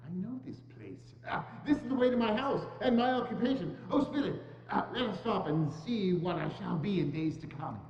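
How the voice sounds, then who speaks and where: An old Victorian miser played by a man, full of fear and remorse, pleads in a high, swooping voice with halting theatrical phrases. It is a staged reading in a reverberant hall with a dull, humming sound. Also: lively intonation, a little mellow. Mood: fearful, pleading. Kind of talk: dramatic reading of a Victorian classic. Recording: echoey church hall, dull, humming noise.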